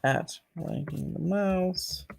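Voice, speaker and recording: Creaky-voiced man hosting a casual livestream. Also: on the high side, slow. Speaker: channel host.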